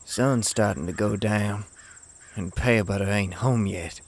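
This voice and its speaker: A man's voice: on the low side, a little slow. A man voicing a distraught, loyal farmer son pony in a dramatic comic dub, with a Southern US accent. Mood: uneasy, suspicious.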